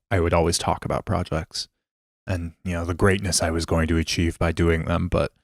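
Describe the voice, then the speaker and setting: A man's voice: sits low, a little mellow, no echo, clean studio sound. A man co-hosting a podcast in casual chat, joking and offhand, swearing casually. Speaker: podcast co-host.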